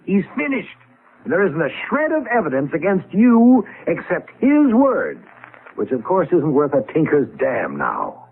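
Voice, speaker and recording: A disillusioned older partner in a 1970s radio play, sardonic and emphatic. His voice is rough and breathy, with very wide swoops in pitch. It sounds boxed-in, like vintage radio. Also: huge pitch swings, a little slow, heavily acted. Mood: accusing. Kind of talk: 1970s radio drama acting. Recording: muffled vintage radio broadcast.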